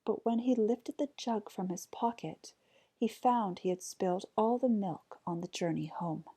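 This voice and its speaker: A woman reading a folk tale aloud as an expressive storyteller. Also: mid-range voice, measured pace, breathy edge.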